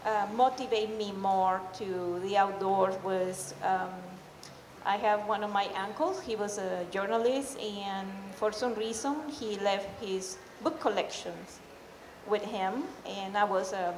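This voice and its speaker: A middle-aged woman from Peru with a Peruvian Spanish accent tells a personal story live, in an informal, halting way. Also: mid-range voice. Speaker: amateur storyteller. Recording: echoey hall, faint background noise.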